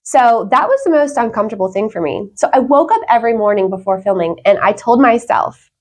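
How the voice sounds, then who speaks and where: Woman guest on a talk show, kinda high voice, earnest and emphatic, just chatting thru her story. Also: animated, a little fast. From Wales. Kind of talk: conversational interview storytelling.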